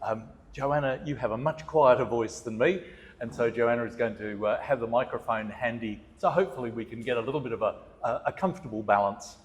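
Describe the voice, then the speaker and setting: A man with a loud, projecting voice, courteously hosting a conversation with an artist at a gallery. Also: mid-range voice, fairly quick. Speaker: host interviewing an artist. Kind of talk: gallery talk, casual conversation. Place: art gallery, large room. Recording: long reverb in a gallery hall.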